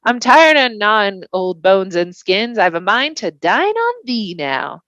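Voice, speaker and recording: A woman's voice: mid-range voice, very animated, a little slow, fairly bright tone, dry recording, muffled recording. A woman voicing a greedy old male troll in rustic, taunting rhyme for a storybook. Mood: menacing, hungry.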